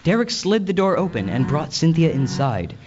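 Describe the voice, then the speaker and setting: A man's voice: on the high side, big pitch swings, normal speed, a little mellow, highs cut off, a little hiss or hum. A man playing the storyteller, reading scripted narration for a Christmas radio play. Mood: suspenseful storytelling.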